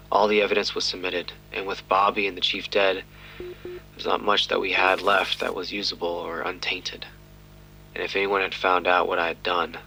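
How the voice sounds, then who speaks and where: A troubled low-voiced detective. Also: male voice, vocal fry, pushed and bright, slight background noise, exaggerated voice, rough recording. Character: troubled, defensive male police detective haunted by a failed case. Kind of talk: audio drama acting.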